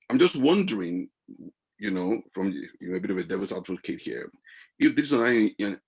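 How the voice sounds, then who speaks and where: A man taking part in a panel discussion, asking questions in a halting, hesitant way and often repeating words. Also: fairly low pitch, vocal fry, a little mellow, breathy edge, poor audio.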